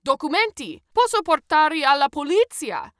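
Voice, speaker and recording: A woman's voice: mid-range voice, expressive, moderate pace, fairly bright tone, no echo, studio quality, close to an everyday voice. Woman acting a huge, bellowing young bully of an official. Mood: aggressive bellowing. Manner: barking, bellowing demands. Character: huge aggressive young male Italian ticket checker, bullying.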